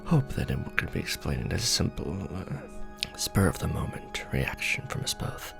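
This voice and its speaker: A man playing a courtly, conflicted vampire lord in a romantic ASMR fantasy roleplay, speaking formally and with measured care. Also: very animated, music in the background, full character voice. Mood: tender, awkward. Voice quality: low, creaky. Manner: formal, measured, hesitant when tender. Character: courtly, conflicted vampire lord, tender toward his beloved.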